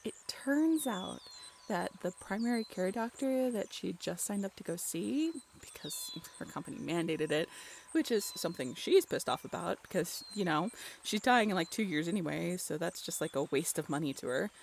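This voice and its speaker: Woman acting chatty, lowish-voiced young storyteller, noisy recording. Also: animated, normal speed, creaky. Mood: animated storytelling. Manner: informal, giggly, conversational asides with rhetorical questions. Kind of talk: casual, animated storytelling in an audio roleplay.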